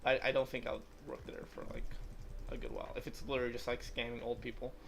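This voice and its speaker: A man's voice: mid-range voice, level tone, fast talker, gravelly, noisy background. An eighteen-year-old man hosting a livestream, chatting casually and rambling, with plenty of "like" fillers. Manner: rambling, heavy use of "like" fillers. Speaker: livestream host. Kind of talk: casual chat while gaming.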